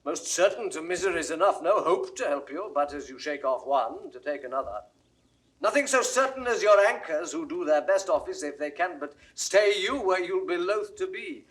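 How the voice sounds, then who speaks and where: Casting: loyal lord in classical audio drama, forceful and measured, slightly high voice, persuasive. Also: male voice, animated. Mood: grave, advising. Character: loyal, earnest male lord and counsellor to a prince. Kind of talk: classical Shakespearean stage acting, 1960s audio recording.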